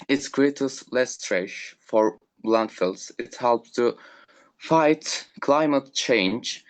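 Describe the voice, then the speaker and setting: A teenage boy from Turkey, a non-native English speaker, presenting a school talk over an online call, halting and filler-heavy. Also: mid-range voice. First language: Turkish. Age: teens. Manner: halting, reads points with fillers. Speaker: student speaker. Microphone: remote call microphone.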